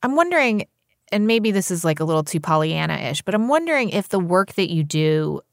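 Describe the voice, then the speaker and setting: A woman hosting an interview podcast, asking curious, probing questions in a relaxed conversation. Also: lowish voice, a little fast, no room sound, clean studio sound. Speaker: podcast host.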